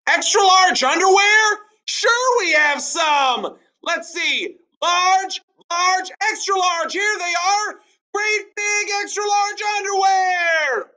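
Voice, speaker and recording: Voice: extremely high pitch, animated, slow, sharp tone, voice pushed up, exaggerated voice. A bellowing half-deaf colonial boy. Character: loud-shouting colonial boy bell ringer.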